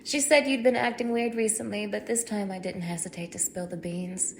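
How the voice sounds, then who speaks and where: A woman performs a cruel young female captor in a dramatic audio roleplay. She speaks at an average pitch, addressing one captive with mocking contempt and open menace. There is clear noise behind her voice. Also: fairly even intonation, a little fast, natural voice. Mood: cold, menacing. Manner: mocking, degrading, swinging from hushed menace to shouting.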